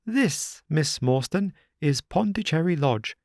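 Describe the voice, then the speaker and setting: A man doing a high, fretful fussy gentleman. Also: lively intonation, takes it slowly, dry recording, studio quality. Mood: polite. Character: fussy, nervous middle-aged gentleman.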